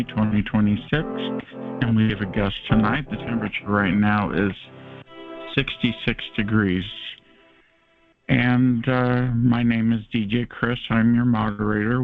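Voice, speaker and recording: A man with a Californian accent hosting and moderating a call-in show, relaxed and full of fillers. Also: lowish voice, creaky, no echo. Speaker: host and moderator. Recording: phone-like conference call line.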